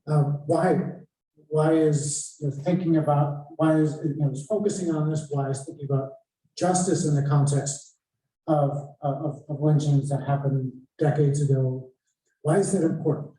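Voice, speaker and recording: A man moderating a panel discussion, putting questions in a halting, thoughtful way. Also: on the high side, fairly even intonation, warm tone. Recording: very echoey room, distant muffled mic.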